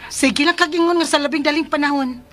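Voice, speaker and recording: A woman's voice: sits high, fast talker, fairly bright tone, soft background music. A woman with a Cebuano Filipino accent playing a deceitful, insistent young plotter in a radio drama. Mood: scheming, impatient. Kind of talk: Philippine radio drama acting.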